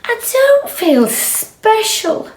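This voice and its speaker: A woman voicing an excitable, insecure female storybook cow. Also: very high voice, drawn-out words, reverberant, slight background noise, voice pushed up, heavily acted. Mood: downcast.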